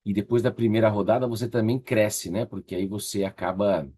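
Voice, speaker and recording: A Brazilian man with a slightly husky voice teaching an online class in a conversational, encouraging way, often checking in with his listeners. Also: fairly low pitch, steady tone, brisk, dark tone. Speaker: course instructor leading an online workshop. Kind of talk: casual interactive online teaching.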